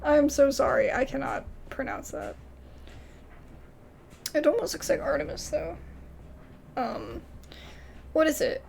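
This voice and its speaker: A woman's voice: high-pitched, unhurried, creaky, pauses often, crowd noise. A woman doing casual let's-play commentary, reading game subtitles aloud with playful, apologetic asides. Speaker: gaming streamer.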